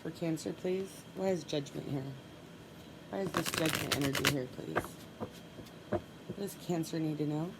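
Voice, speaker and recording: A woman with a stuffy nose giving a solo tarot reading, calmly and softly repeating her requests as she draws cards. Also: deep voice, moderate pace, long pauses, heavy background noise. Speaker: tarot reader.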